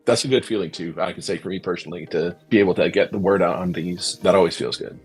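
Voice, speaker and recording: Deep, husky, quick-talking man. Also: fairly even intonation, faint music behind. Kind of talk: casual discussion.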